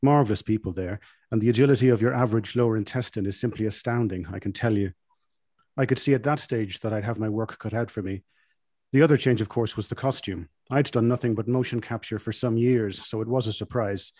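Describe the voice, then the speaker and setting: A man's voice: on the low side, brisk, mellow, no echo, clean studio sound. A man reading a comic first-person monologue in the style of an actor recalling a role. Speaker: reader.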